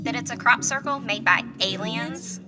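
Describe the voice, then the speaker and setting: A performer voicing a wide-eyed, curious puppet in a live stage show, a man with a squeaky voice. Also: lively intonation, moderate pace, long reverb tail, over loud music.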